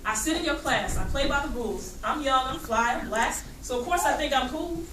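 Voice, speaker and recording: A woman's voice: high voice, lively intonation, brisk, a bit bright, voice pushed up. A woman reading a poem aloud as a proud, misjudged young male student, hurt and defiant, with rhythmic emphasis. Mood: proud, bothered. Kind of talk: spoken poem read aloud. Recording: echoey noisy classroom, distant mic.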